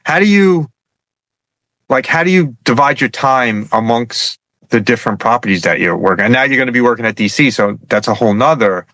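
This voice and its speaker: A man's voice: medium pitch, animated, brisk, a bit bright, no echo. A man speaking casually as an interviewer, repeating and rephrasing his questions as he goes. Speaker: interviewer, show host.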